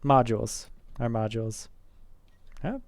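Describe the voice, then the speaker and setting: A man's voice: medium pitch, lively intonation, unhurried, no room sound. A man streaming a naval battle game, talking casually and thinking aloud with many fillers and restarts. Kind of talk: casual gameplay commentary.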